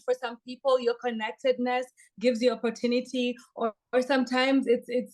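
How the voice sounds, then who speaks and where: A woman with a Kenyan accent, a podcast guest giving earnest, upbeat interview answers. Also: a little high.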